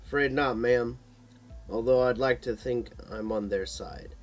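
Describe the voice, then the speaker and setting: A man's voice: mid-range voice, steady tone, measured pace, soft background music, close to an everyday voice. A man voicing a grim, protective young male hero in a supernatural story, terse and resolute. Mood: sad, guarded.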